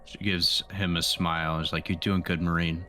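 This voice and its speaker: A man's voice: low voice, flat delivery, moderate pace, sharp tone, faint music behind. A man playing a tabletop roleplaying game, chatting casually and thinking aloud as he describes what his character does.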